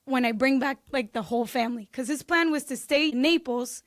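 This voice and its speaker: A woman's voice: high voice, brisk, no echo, studio quality. A woman in her twenties from Peru, telling her story as a podcast guest in a bubbly, laughing way. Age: 20s. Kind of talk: casual interview chat.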